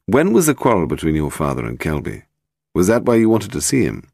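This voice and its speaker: A British man voicing an urbane, unruffled gentleman detective, measured and polite, in an audiobook. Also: low-pitched, huge pitch swings, vocal fry, no room sound, studio quality, heavily acted. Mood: inquiring.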